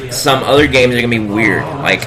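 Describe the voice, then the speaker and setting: A man's voice: mid-range voice, fast. A man co-hosting a podcast, chatting casually like an enthusiastic gamer. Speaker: podcast co-host. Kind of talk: casual chat. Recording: crowd noise around the voice. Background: crowd chatter.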